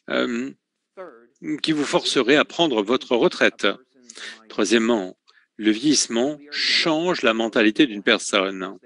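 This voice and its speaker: A man in a mid-range voice voices a talk in French as an interpreter. His delivery is measured and steady, broken now and then by filler sounds and quick self-corrections as he finds the right word. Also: medium pitch. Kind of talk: French voice-over of a conference talk.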